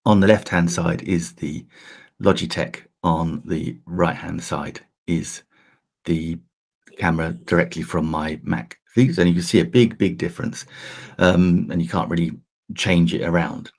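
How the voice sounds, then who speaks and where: A man from the UK hosting an early-morning live stream tutorial, chatty and rambling, with a croaky voice. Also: sits low, level tone, unhurried, darkish tone. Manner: chatty, rambling with many fillers and restarts.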